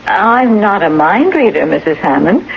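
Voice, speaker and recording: An unflappable nurse in an old radio play, polite and gently teasing as she coaxes. Her intonation is very lively, and the recording is dull with a faint hiss. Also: mid-range voice, normal speed, creaky. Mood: coaxing, teasing. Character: brisk, unflappable, cleverly coaxing female nurse. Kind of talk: radio drama acting.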